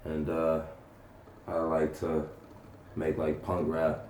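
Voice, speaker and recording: A young man from North Carolina chatting casually as an interviewed rapper, slangy, profane and halting. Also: a bass voice, completely flat delivery, darkish tone. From North Carolina, United States. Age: young adult. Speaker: punk rapper being interviewed. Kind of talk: casual chat. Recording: roomy echo, faint background noise.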